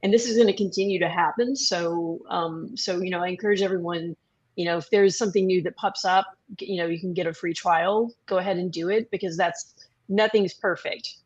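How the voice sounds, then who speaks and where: A woman talks casually as an interview guest, offering advice. Her voice is husky and a little low, and the recording is slightly dull and lo-fi. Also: fairly even intonation, fairly quick. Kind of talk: casual advisory talk.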